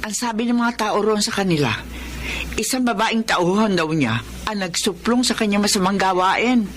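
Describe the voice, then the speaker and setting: A woman acting as a devout, warm-hearted mother in a Tagalog radio drama, with emotional, expressive delivery. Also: mid-range voice, moderate pace, a little hiss or hum, natural voice. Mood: earnest, gossipy.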